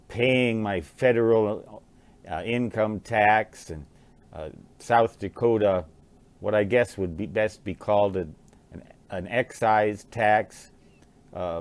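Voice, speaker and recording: An American man in his sixties, musing aloud in a halting, rambling monologue and often repeating words. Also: lowish voice. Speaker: vlogger, writer and ham radio hobbyist.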